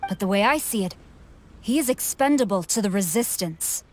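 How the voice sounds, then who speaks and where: An actress playing a proud, menacing military commander in a video game, haughty and coolly formal. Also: female voice, medium pitch, lively intonation, moderate pace, a little mellow, natural voice. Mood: calculating. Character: proud, menacing female military commander loyal to her Shogun. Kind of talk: scripted video game voice acting. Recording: game music behind the voice. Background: music.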